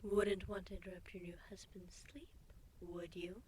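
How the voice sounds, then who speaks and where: A man voicing a sly female villain creature in a hushed, softly menacing whisper for a storybook reading. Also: high voice, little pitch movement, moderate pace, husky, pitched above the performer's own voice. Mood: sly murmuring.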